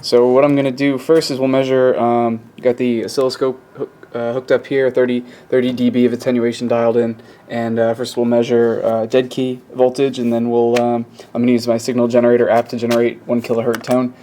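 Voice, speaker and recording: A man giving a casual, hands-on demonstration as a hobbyist video host, talking haltingly as he thinks aloud at an indoor workbench. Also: mid-range voice, monotone, dark tone. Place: indoor workbench with electronics gear. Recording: clearly noisy room ambience.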